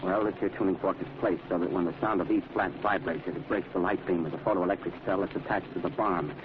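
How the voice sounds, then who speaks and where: Male actor as dutiful cop sergeant, deep voice, plainspoken, old radio drama, noisy recording. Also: steady tone, fast talker, phone-quality sound, natural voice. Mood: dutiful, explaining. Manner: folksy, dutiful. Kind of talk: 1940s radio acting.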